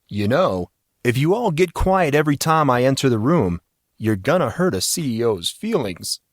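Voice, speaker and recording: A man's voice: medium pitch, big pitch swings, normal speed, no echo, clean studio sound. A man playing a powerful, jovial older executive in an audio drama, with a teasing, theatrical delivery. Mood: playful. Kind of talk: audio drama character acting.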